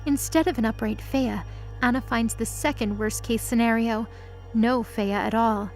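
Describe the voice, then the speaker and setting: A woman's voice: fairly high pitch, steady tone, normal speed, darkish tone, soft background music. A woman narrating a scripted present-tense mythology drama.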